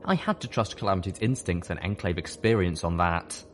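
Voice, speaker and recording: Deep-voiced man reading expressively. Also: brisk, slight background noise. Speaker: audiobook reader. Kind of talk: fan fiction audiobook narration.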